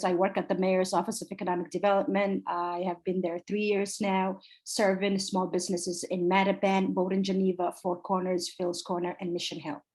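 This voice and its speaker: A woman in her forties from Somalia, a city council candidate answering interview questions earnestly over a video call. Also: medium pitch. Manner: earnest, emphatic, repeats key phrases.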